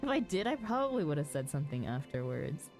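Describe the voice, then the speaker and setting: A woman's voice: low voice, big pitch swings, quick pace, darkish tone. A woman hosting a game livestream, chatting casually with viewers in an easygoing way. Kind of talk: casual chat with stream viewers while gaming. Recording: music behind the voice. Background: music.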